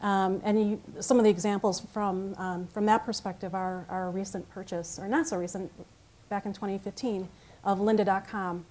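A woman whose first language is Italian, presenting at a conference in a conversational way, with frequent pauses and restarts. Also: medium pitch, fairly even intonation, darkish tone, rough recording. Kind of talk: conference talk. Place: conference room with audience.

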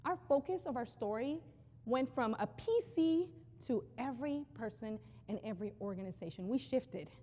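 A woman giving a live conference talk on brand storytelling, energetic and conversational, often repeating phrases for emphasis. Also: fairly high pitch, normal speed. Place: large hall with an audience. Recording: long hall reverb.

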